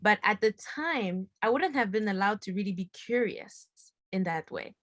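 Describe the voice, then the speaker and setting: A woman's voice: mid-range voice, lively intonation, moderate pace, fairly bright tone, no echo, clean studio sound. A woman in her twenties from the Democratic Republic of Congo, talking earnestly and passionately as a guest on a video call from home. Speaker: guest filmmaker. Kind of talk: casual discussion. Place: home living room. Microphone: video call laptop camera.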